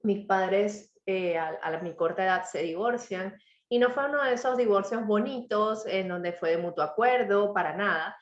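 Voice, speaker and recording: A woman speaking, her throat a little hoarse, shares a personal story in a reflective motivational talk. She checks in often with her listeners. The sound is dull and lo-fi, with a roomy echo around her. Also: mid-range voice, moderate pace. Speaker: author and speaker.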